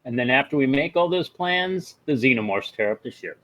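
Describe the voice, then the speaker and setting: A man's voice: mid-range voice, animated, fairly quick, a bit bright, low-quality recording. A man who plays a tabletop game, casually talking over plans with the group.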